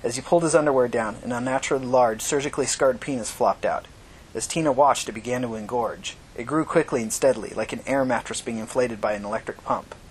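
Male fiction narrator, faintly noisy recording. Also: fast. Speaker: audiobook narrator. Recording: noisy recording with low rumble.

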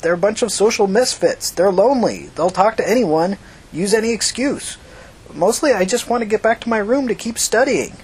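A man's voice: sits high, expressive, moderate pace, husky, voice pushed up, heavily acted. A man reading the part of an evasive, nervous, bookish male computer whiz who answers defensively in an audiobook. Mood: flustered. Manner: guarded, hesitant, defensive.

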